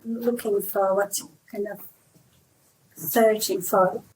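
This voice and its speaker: A woman interviewing people, asking gentle prompting questions. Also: mid-range voice, fairly even intonation, slow pace, slight background noise, rough recording. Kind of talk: casual interview.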